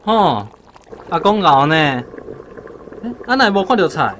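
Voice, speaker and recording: An actor playing a curious, casual grandson with a Taiwanese accent in radio drama dialogue. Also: male voice, a little high, big pitch swings, measured pace, a bit bright, a little hiss or hum.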